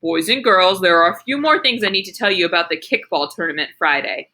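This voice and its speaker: A woman voicing a calm, encouraging male first-grade teacher from a storybook, with a measured, instructive manner. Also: lowish voice. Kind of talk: children's storybook read-aloud.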